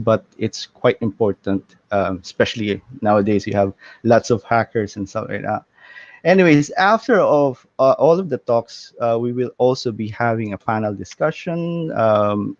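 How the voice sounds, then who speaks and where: A man co-hosts an online meetup over a video call, his low gravelly voice coming through dull and dry. He talks casually, stopping often for uhs and ums. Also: no room sound. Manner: hesitant, many uhs. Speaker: meetup co-host. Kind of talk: casual event hosting.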